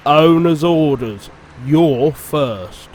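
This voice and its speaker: A matter-of-fact railway fireman giving orders to an engine, read by a man. He speaks in a plain, unhurried voice. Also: a little high, lively intonation, a little mellow, a little hiss or hum, low-quality recording. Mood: firm. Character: firm, no-nonsense male railway fireman.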